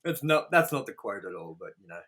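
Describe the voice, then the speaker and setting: A man co-hosting a casual, comedic movie review, excitable and joking, with some swearing. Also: lowish voice, a little fast. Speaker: movie review show host.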